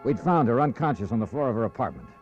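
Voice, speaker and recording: A man's voice: medium pitch, animated, fast, darkish tone, natural voice. Man playing a hardboiled radio detective, swinging intonation. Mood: narrating wryly. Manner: brusque, sardonic, clipped. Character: hardboiled, wisecracking male insurance investigator. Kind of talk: 1950s radio drama acting with first-person narration. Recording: vintage radio recording, dull, faint music.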